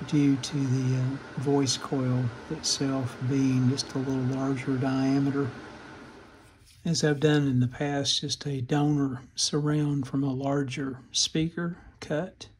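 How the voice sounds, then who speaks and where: An older man giving a casual, matter-of-fact, halting narration of hands-on repair work at a workbench. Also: mid-range voice, background noise. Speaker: vintage radio repair hobbyist, channel host.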